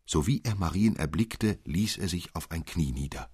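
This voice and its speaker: A man narrating a literary fairy tale as an expressive, measured storyteller. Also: sits low, husky, no echo, clean studio sound.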